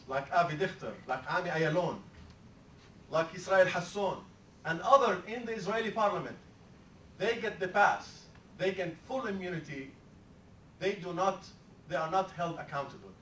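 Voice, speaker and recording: A man addresses a panel audience with fervor, stumbling and restarting as he builds his points. His voice sits a little high and sounds distant from the mic. The lecture hall adds a roomy echo and a faint hiss underneath. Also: fairly bright tone. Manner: impassioned, halting with many restarts. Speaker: panel moderator.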